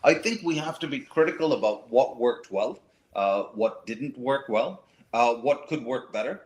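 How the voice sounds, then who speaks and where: A middle-aged man raised in the Middle East, speaking as a talk show guest in a thoughtful, measured way with frequent fillers and restarts. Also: mid-range voice, lively intonation. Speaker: guest, writer and educator. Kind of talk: reflective talk show conversation.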